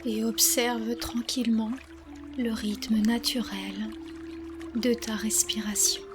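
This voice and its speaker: Slow, calm woman guiding meditation over music. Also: fairly high pitch. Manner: calm, soothing, instructional, with long pauses. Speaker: yoga nidra guide. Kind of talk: guided relaxation meditation.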